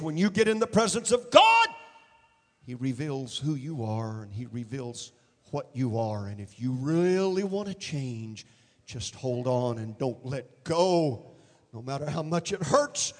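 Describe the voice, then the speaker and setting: A man preaching a dramatic sermon, acting out voices in the story and rising to shouts at the peaks. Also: fairly high pitch, big-room sound. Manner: dramatic, acts out biblical figures in quotes, shouts at peaks. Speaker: pastor.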